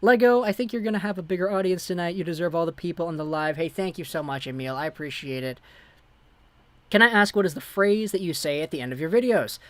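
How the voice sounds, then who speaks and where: A man's voice: high voice, quick pace, fairly bright tone, dry recording. A young man hosting a casual hockey livestream, chatting loosely as he reads viewer messages aloud and thanks subscribers. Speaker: livestream host. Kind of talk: casual live chat, reading viewer messages.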